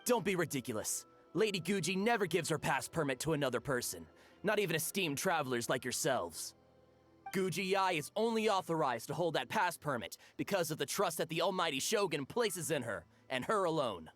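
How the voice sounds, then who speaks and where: A man's voice: a little high, very animated, fairly quick, a bit bright, faint music behind. A man voicing a dutiful palace gate guard in a game cutscene, speaking in a stiff, officious way. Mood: stern.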